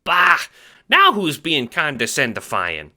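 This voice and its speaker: A man voicing a short, clumsy, brash but good-natured guard in an audio drama, with a squeaky chipmunk voice and an Italian-American accent. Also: wildly swooping pitch, takes it slowly, pushed and bright, full character voice. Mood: eager, brash. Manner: slangy gangster talk, brash.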